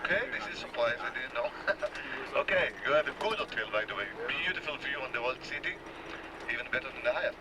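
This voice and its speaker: An Israeli-accented man greets a tour group in a joking, chatty way and explains things slowly. He is picked up from a distance on an old home video. Loud road noise runs behind him. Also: medium pitch, animated. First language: Hebrew. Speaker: tour guide. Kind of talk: tour bus welcome talk.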